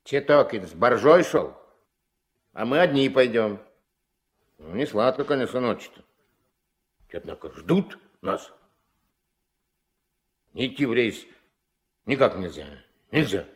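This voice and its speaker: A resolute riverboat captain with a mid-pitched voice that rises and falls widely. He is gruff, terse and folksy, giving orders with mock formality. It sounds like a vintage Soviet radio drama. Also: very animated, unhurried, long pauses. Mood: firm, reflective. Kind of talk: Soviet radio play acting. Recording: vintage 1970s mono radio recording.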